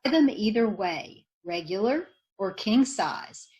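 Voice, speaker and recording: A woman's voice: mid-range voice, animated, takes it slowly, darkish tone. A woman reading a vintage radio commercial in an earnest, persuasive manner. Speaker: commercial spokesperson.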